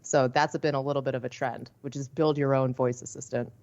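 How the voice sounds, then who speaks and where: Woman panel guest, extremely deep voice, dull lo-fi remote sound. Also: steady tone, a little fast, a little mellow. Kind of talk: casual podcast discussion.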